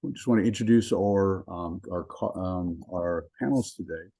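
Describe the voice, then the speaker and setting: Low, mellow-voiced professor hosting a webinar. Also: male voice. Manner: measured, with frequent ums and restarts. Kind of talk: webinar introduction. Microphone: video call.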